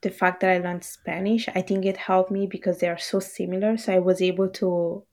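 A woman's voice: fairly low pitch, level tone, brisk, darkish tone. A woman whose first language is Bengali, a guest in a casual interview, speaking thoughtfully with fillers.

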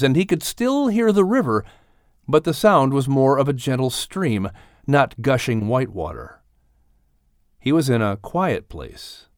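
A man with a medium-pitched voice narrates a dramatic story. He takes his time, shaping each phrase with measured suspense and expression. The recording is close and dry. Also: no room sound. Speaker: audiobook narrator.

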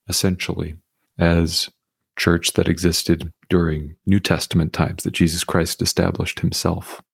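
A man who is a guest on a podcast, speaking in a hesitant, measured, thoughtful way in casual conversation. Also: deep voice, gravelly, husky, no room sound, clean studio sound.

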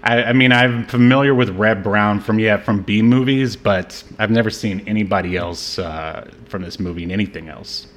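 A man co-hosting a podcast, chatting casually and jokingly about a film, quick to laugh. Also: lowish voice. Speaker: podcast co-host. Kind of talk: casual movie review banter.

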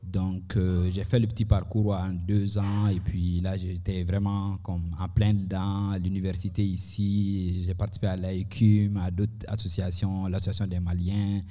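A man's voice: sits low, flat delivery, moderate pace, few pauses, mellow. A man, introducing himself in a modest, hesitant way at a live event. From Mali. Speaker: panel guest, medical director. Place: live recording in a university bar. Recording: reverberant room, live event recording.

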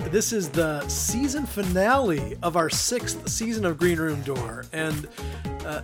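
A man hosting a music interview show, his voice a little weak as he recovers from the flu, speaking in a relaxed way with many ums. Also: a little high. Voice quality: slightly weak voice. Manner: relaxed, with frequent ums and uhs. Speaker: podcast host. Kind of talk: scripted show intro and interview questions.

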